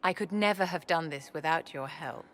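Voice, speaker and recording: A woman voicing a haughty court enchantress in a fantasy game. Her voice sits fairly low, silky and imperious, with poised condescension over faint ambience. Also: moderate pace, a little hiss or hum, lightly acted. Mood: smug. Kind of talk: dramatic game acting.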